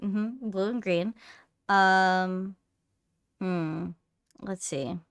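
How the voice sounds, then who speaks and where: A woman's voice: mid-range voice, very slow, clear, resonant tone. A woman streamer in casual, playful banter with a friend on a livestream.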